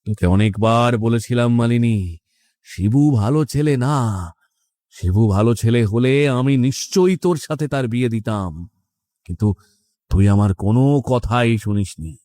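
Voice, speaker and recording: A man's voice: mid-range voice, lively intonation, moderate pace, warm tone, dry recording, close to an everyday voice. Stern, vengeful ghost of a father, acted by a man. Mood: stern, bitter. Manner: stern, reproachful, accusing. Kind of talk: horror cartoon story acting.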